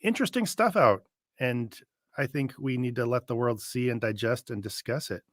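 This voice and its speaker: A man's voice: medium pitch, moderate pace, no room sound. A man co-hosting a live show, talking casually with dry, tongue-in-cheek humor. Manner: dryly joking, tongue-in-cheek. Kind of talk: casual commentary chat.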